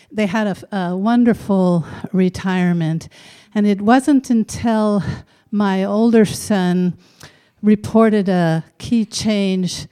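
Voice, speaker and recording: A middle-aged woman tells a personal story to a live audience, frank and conversational. Her voice is mellow and smooth, and she speaks slowly, leaving room between thoughts. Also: medium pitch. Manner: frank, unhurried, conversational with occasional fillers.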